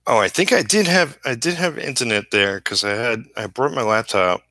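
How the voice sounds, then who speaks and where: A man's voice: medium pitch, normal speed, pushed and bright, dry recording. A man chatting casually on a livestream while he works, rambling and trailing off mid-thought in an easygoing, self-deprecating way. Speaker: comic book inker live streaming.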